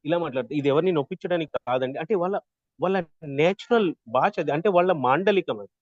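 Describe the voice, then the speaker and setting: A man with a fairly high voice leads an interactive lesson. He explains point by point and often checks that the class follows. The sound is dry and close, slightly muffled like an online call. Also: fast talker, no echo. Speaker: voice modulation trainer.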